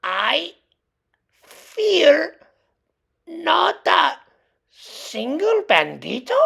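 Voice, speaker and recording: A little kitty boy who fancies himself a brave chihuahua swordsman , played by a woman. He stretches his words slowly and melodramatically, with big swoops in pitch, in storybook style. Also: wildly swooping pitch, creaky, fairly bright tone, full character voice. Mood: timid bravery. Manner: drawn-out, melodramatic.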